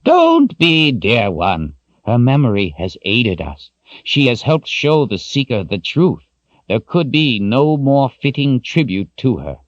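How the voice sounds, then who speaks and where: A man's voice: medium pitch, wildly swooping pitch, slow pace, no echo, dull audio, voice pushed up. A man voicing a stern, grim old wizard mentor who speaks with urgent emphasis. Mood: gentle.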